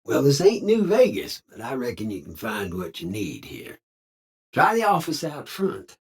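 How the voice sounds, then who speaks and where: Folksy husky cowboy robot. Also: male voice, medium pitch, huge pitch swings, moderate pace, heavily acted. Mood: jovial, folksy. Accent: American Southern cowboy drawl. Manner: folksy slang, theatrical swooping delivery. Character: folksy, jovial cowboy robot drifter, friendly but slyly evasive. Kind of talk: video game character dialogue.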